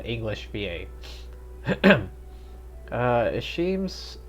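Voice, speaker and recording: A man streaming a video game live, chatting casually and reacting aloud with laughter. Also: medium pitch. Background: faint game music.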